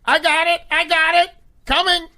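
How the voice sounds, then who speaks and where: Man doing an excitable, high-pitched cartoon sponge. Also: normal speed, exaggerated voice. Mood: eager. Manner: excitable, exclaiming. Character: eager naive high-pitched male cartoon sponge fry cook.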